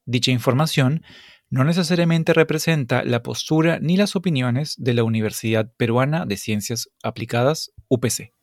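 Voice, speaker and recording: A man's voice: mid-range voice, moderate pace, no echo. Man reading a formal disclaimer, dry. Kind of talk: read legal disclaimer.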